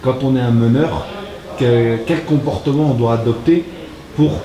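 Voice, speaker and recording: A man interviewing a guest, asking questions in a gentle, encouraging way. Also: medium pitch, moderate pace. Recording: echoey with crowd behind.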